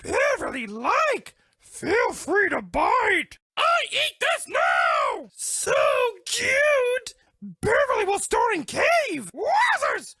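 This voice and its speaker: A woman's voice: very high voice, wildly swooping pitch, drawn-out words, sharp tone, no echo, shouting, clean studio sound, exaggerated voice. A woman voicing a sweet, dramatic, lovestruck female bear in a cartoon dating game, speaking broken English in a gushing way. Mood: playful, gushing.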